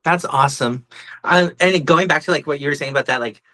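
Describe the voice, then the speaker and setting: Bright-voiced man hosting casually. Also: fairly high pitch, a little fast. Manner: informal, often says "like". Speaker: podcast host and interviewer. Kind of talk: casual conversational interview.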